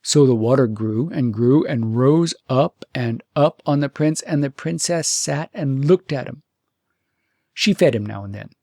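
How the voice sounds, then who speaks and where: Gravelly male fairytale storyteller. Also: medium pitch, a little slow, darkish tone, dry recording, studio quality. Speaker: audiobook storyteller. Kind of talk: scripted fairytale narration.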